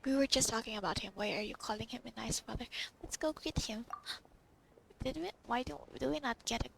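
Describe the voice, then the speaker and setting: High-pitched, very creaky young-sounding woman gamer. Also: a little fast, noisy background. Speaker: gamer recording a playthrough. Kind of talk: casual game commentary, reading dialogue aloud. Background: game music.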